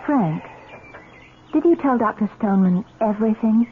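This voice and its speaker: An actress playing an affectionate but doubting young fiancée in an old-time radio drama, solicitous and probing. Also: female voice, a little high, lively intonation, moderate pace, close to an everyday voice. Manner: solicitous, questioning. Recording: tinny noisy old radio recording.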